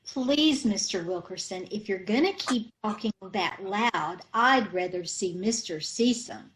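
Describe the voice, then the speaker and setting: A woman's voice: mid-range voice, expressive, a little slow, lightly acted. A woman playing a scatterbrained middle-aged housewife in an old-time radio comedy, naive and earnest, mixing up her words, heard over a video call.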